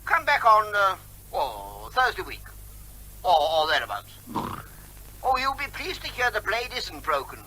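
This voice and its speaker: A cheeky, evasive neighbour in a comic radio play. His voice is high and piercingly bright, swooping about in a lively way as he breezily quibbles and needles with mock politeness. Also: measured pace, a little hiss or hum, full character voice, low-quality recording. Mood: smug teasing. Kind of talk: comic radio drama acting.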